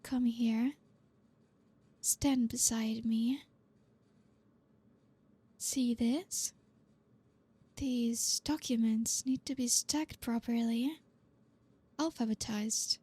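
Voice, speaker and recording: A woman playing a dominant, flirty boss in an ASMR roleplay, speaking softly and breathily with teasing, deliberate command, close to the microphone. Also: on the high side, steady tone, exaggerated voice. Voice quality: breathy, soft. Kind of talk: ASMR roleplay, close-mic soft speech. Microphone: close microphone, dry.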